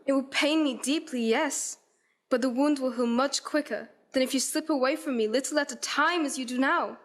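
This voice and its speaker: High-voiced, heartbroken, resolute young fiancée played by a woman. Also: moderate pace, a little hiss or hum, close to an everyday voice. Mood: sorrowful, firm. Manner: earnest, formal period phrasing. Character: Belle, heartbroken, resolute young fiancée releasing her betrothed. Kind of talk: radio play acting.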